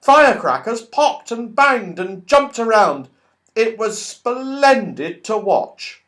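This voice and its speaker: A man reading a children's story aloud as an audiobook narrator, expressive and animated. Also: high-pitched, slow pace. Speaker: audiobook reader.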